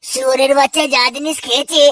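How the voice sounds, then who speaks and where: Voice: high-pitched, fairly even intonation, quick pace, bright, forceful tone, no room sound, recorded from a distance. A performer voicing a foul-mouthed, mocking young cartoon man, in exaggerated comedy dialogue. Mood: exasperated. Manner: crude, taunting, slangy.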